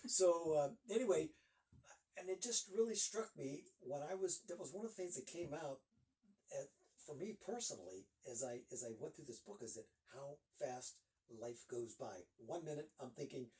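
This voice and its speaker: A man's voice: a little high, moderate pace, dark tone, far from the mic, poor audio. A man in his sixties from Northeast Ohio, a guest author chatting casually in an interview and rambling with lots of fillers.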